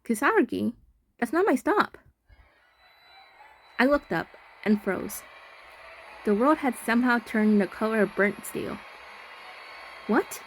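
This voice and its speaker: A woman playing a polite, uneasy young heroine in an expressive reading of a visual novel script. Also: on the high side, takes it slowly, pauses often, slight background noise. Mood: startled, fearful.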